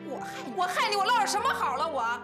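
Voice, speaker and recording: A woman's voice: fairly high pitch, sing-song, normal speed. An actress playing a brash, stubborn older sister in her late thirties in a TV family drama, combative and indignant. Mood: wounded fury. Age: late 30s. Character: brash, stubborn, scheming older sister, quick to take offence. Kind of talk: naturalistic TV drama acting. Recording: faint background music.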